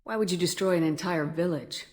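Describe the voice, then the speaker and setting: An actress playing a steady, determined military commander in a video game, speaking in a direct, plain-spoken way. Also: female voice, lowish voice, a little fast, lightly acted. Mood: probing. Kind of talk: dramatic video game dialogue.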